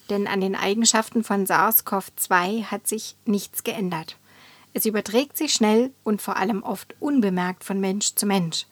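Matter-of-fact female explainer, acted by a woman, mid-pitched, close dry sound, scripted informative delivery. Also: dry recording, close to an everyday voice. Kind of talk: scripted explainer in an audio collage.

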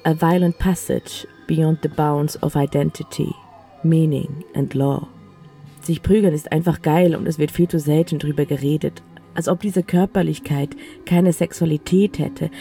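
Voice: mid-range voice, steady tone, moderate pace, vocal fry, mellow. A narrator reading a literary first-person audio play in an intimate, matter-of-fact way. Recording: music behind the voice. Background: music.

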